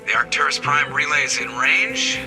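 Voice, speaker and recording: An actor playing a bridge officer in a video game, calling out a relay jump in crisp procedural lines. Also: male voice, fairly high pitch, expressive, normal speed, over music. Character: matter-of-fact male bridge officer.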